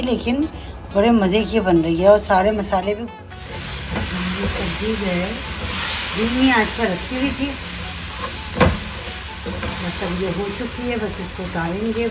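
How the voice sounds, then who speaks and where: A woman's voice: medium pitch, noisy background. Chatty woman hosting a home cooking video. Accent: Pakistani Urdu. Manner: chatty, homely, explains each step as she goes. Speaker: cooking channel host. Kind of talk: casual cooking demonstration voice-over. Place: home kitchen. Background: background music.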